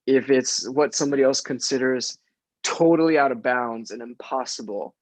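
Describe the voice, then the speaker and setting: A man with Spanish as his first language speaks at a middling pitch. He offers reflective advice as a guest on a panel, often punctuating his points with a checking "right?" The sound is dry, with little room around it. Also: moderate pace, dry recording. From Mexican immigrant family. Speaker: theater professional, guest panelist.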